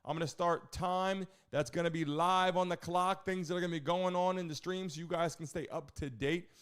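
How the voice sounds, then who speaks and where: A man's voice: high-pitched, fairly even intonation, fairly quick, a bit bright, clear, resonant tone. A man hosting a casual live sports stream, talking to viewers in an informal hype style with catchphrases.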